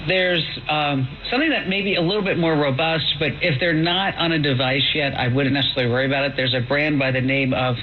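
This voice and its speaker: Male call-in tech host, fairly high voice, chatty and informal, heard through noisy, muffled radio. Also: fast, hardly any pauses. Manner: informal, exclamatory, peppered with "you know". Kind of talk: casual, chatty radio advice. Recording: noisy, dull shortwave radio.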